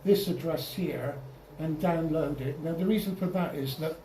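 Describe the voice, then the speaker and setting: A man giving an informal software tutorial over slides as a club presenter, halting and often repeating or restarting his phrases. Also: on the high side. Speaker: presenter at an amateur radio club. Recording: roomy, distant mic, faint noise.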